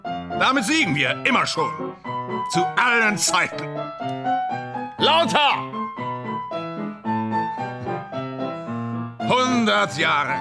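A man's voice: high voice, full character voice. A man in a German radio play, playing a grandiose, self-deluding film actor who declaims in exalted, repetitive phrases. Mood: fervent, boastful.

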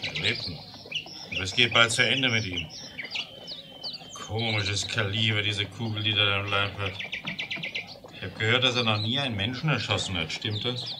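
An actor playing a sober frontier town doctor in a western radio play. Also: male voice, on the low side, normal speed, long pauses, background noise, close to an everyday voice. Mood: grave, subdued. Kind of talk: German 1970s western radio play acting.

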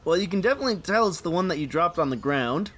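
A man's voice: a little high, animated, fast talker, a bit bright, a little hiss or hum. A man hosting a radio show with scripted links and comic skits, in a dry, sceptical manner.

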